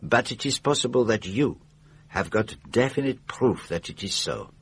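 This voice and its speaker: An actor playing an older Belgian detective, shrewd and patient, speaking formal, precise English with a Belgian French accent in a radio drama. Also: male voice, mid-range voice, expressive, creaky, breathy edge. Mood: calm, insistent. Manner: precise, formal, deliberate questioning.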